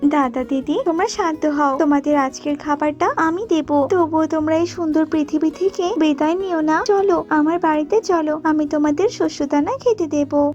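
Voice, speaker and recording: A woman's voice: high voice, moderate pace, hardly any pauses, darkish tone, lo-fi sound, faint music behind, close to an everyday voice. A woman voicing a kind, trusting mother bird in a children's cartoon, speaking in earnest, emotive pleas. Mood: gentle concern. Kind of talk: Bengali children's cartoon dubbing.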